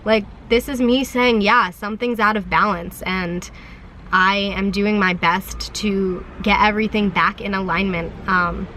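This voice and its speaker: A young woman in her twenties with a hoarse voice from a flu, rambling heartfelt to camera in a sit-down vlog, full of ums and restarts. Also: mid-range voice, steady tone, measured pace, pushed and bright, slight background noise. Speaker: vlogger. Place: parked van.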